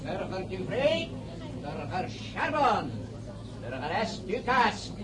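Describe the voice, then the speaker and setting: A man's voice: high-pitched, very animated, takes it slowly, full character voice. An actor playing a brusque, bored male official who calls out names and instructs petitioners in a radio drama. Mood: bored, officious. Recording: noisy ambient background.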